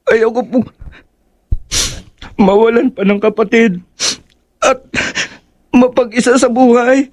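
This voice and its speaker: A man's voice: extremely high pitch, fairly even intonation, takes it slowly, darkish tone. An actor playing an anxious young boy pleading for his older brother in a horror audio drama.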